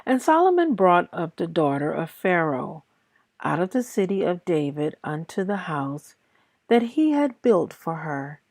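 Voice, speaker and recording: A woman reads scripture aloud in a lowish voice with a gravelly creak. She is a little tired and moves very slowly and deliberately through short phrases. The sound is dry and close, with no room around it. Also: big pitch swings, darkish tone, dry recording. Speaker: Bible reader and host of a daily reading series. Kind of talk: scripture read aloud with brief casual introduction.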